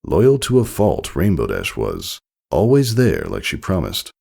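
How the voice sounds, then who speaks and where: A man reading a story aloud in expressive scripted narration. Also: sits low, unhurried, vocal fry.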